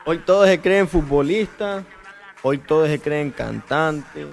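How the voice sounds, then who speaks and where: A man chimes in with teasing, mocking banter, laughing through his lines. His voice sits slightly high for a man. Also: slow pace, gravelly, slight background noise.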